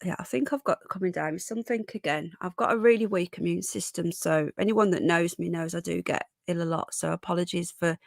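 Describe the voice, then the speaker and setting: A middle-aged British woman with a croaky, congested voice from a cold, hosting a casual live stream where she reads news aloud and chats to viewers. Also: on the low side, a little fast, few pauses, dry recording, studio quality. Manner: chatty, reads chat comments aloud and greets viewers.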